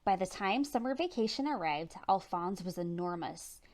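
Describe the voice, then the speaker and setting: A woman reading a children's picture book aloud as storytime narrator, with animated, dramatic emphasis. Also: lowish voice, moderate pace. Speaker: storytime reader.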